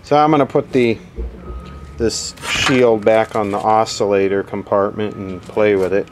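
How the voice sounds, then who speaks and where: Slow, low-voiced man explaining a radio repair. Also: fairly even intonation, noisy background. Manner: plain, unhurried, explaining step by step. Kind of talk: casual hands-on workshop demo.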